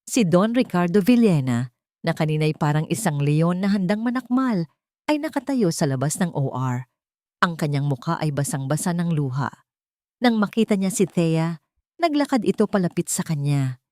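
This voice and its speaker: A woman reading dramatic scripted narration as a storyteller, emotive and deliberate, in short suspenseful phrases. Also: lowish voice, sing-song, a little mellow, no echo, studio quality.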